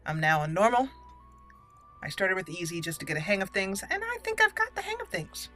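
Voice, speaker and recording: Female gaming host, bright forceful voice, excitable and chatty, casual playthrough commentary over music. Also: medium pitch, fairly quick. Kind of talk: casual let's play commentary. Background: game music under the voice.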